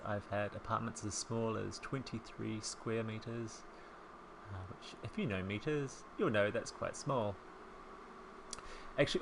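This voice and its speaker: A man in his thirties with a soft New Zealand accent, chatting casually as a voice-over while he builds a home in a life-sim game, rambling and self-deprecating. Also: lowish voice, creaky, noisy background. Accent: soft New Zealand, softened by years in England. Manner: chatty, rambling, self-deprecating, with frequent ums and small laughs. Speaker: Sims speed-build creator.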